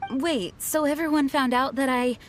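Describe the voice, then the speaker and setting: A woman playing a dutiful young knight commander in a video game, with a formal, polite and measured manner. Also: high-pitched, fairly even intonation, close to an everyday voice. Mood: concerned. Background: background music.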